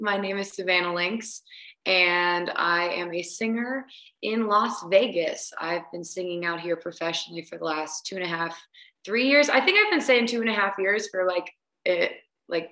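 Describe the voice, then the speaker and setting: Bright-voiced woman guest, roomy sound. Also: medium pitch. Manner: hesitant, many fillers and restarts. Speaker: guest, singer. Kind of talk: casual interview chat.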